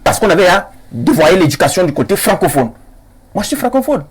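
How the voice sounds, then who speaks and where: A Cameroonian man argues in a heated political debate. His voice is high and husky, and his pitch swings widely. He is insistent, hammering phrases by repeating them. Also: big pitch swings, a little fast.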